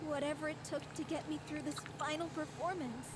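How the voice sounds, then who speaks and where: A woman's voice: high-pitched, steady tone, brisk, very noisy, lightly acted. A woman playing a dedicated, ailing young lead performer in a video game drama, speaking earnestly. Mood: distressed.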